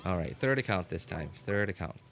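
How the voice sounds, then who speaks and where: A man streaming a game live, chatting casually and haltingly as he thinks aloud. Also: low voice, lively intonation, fairly bright tone. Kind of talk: casual rambling livestream chat. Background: music.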